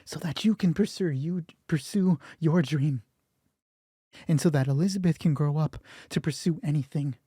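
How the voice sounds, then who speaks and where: A man voicing a career-driven wife who defends her work in a measured, insistent way in an audio drama. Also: on the high side, warm tone, husky, no echo, clean studio sound.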